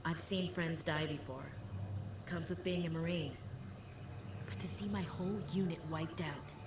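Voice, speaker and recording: An actress playing an earnest, dutiful young marine in a sci-fi video game, speaking sincerely and respectfully. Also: female voice, deep voice, steady tone, a little slow, noisy background. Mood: somber, sincere. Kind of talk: scripted video game dialogue. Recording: roomy, distant game audio under music.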